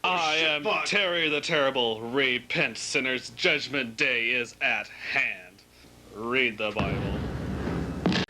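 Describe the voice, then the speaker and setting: A man acts out a menacing animatronic monster in a parody cartoon, preaching doom. The voice is very forceful and sharp, slow and solemn, commanding repentance. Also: medium pitch. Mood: menacing, preachy. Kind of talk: parody fandub cartoon acting.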